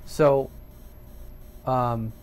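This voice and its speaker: A man's voice: mid-range voice, fairly even intonation, extremely slow, a little mellow, faint music behind. A man giving an unscripted, casual hobby radio tutorial in a plain-spoken, colloquial way, often checking in with the listener. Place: indoors at a home workbench.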